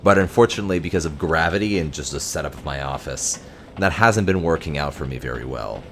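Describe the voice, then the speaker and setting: Very deep-voiced man, self-mocking streamer. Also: expressive, fast, a bit bright, a little hiss or hum. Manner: self-deprecating, wry, muttering curses. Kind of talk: casual gameplay commentary. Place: home office. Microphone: close desk mic.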